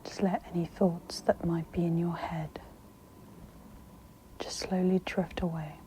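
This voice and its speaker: Slow whispering woman guiding ASMR meditation. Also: lowish voice, fairly even intonation, a little hiss or hum, rough recording. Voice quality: breathy whisper. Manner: soft, gentle, soothing instruction. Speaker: guided meditation host.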